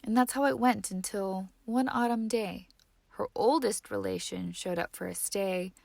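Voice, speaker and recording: Slow, expressive woman reading a storybook. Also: medium pitch, vocal fry, dry recording, clean studio sound. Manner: measured, dramatic storytelling with hushed phrase endings. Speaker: story reader. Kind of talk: expressive children's picture book read-aloud.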